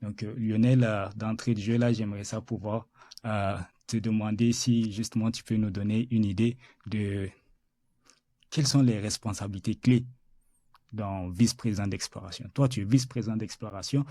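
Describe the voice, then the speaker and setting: A male podcast host with a lowish, mellow voice who feels his way through each question, filling pauses with hesitant sounds and restarting his sentences. The sound is dry and close, with no room around it. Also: fairly even intonation, dry recording. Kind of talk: interview.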